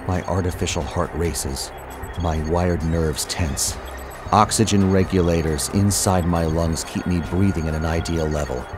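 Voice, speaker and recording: An actor playing a brooding cyborg fighter pilot, narrating his war in a solemn, measured, intense way. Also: low-pitched, over music. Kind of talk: dramatic scripted narration, audio drama.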